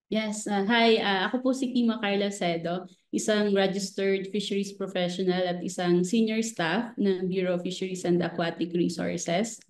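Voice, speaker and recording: A Filipino woman speaks as an expert guest in an interview, explaining with technical terms. Her pitch is average, and the room echoes noticeably around her voice. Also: steady tone, normal speed. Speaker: government fisheries professional being interviewed. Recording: roomy, echoing voice.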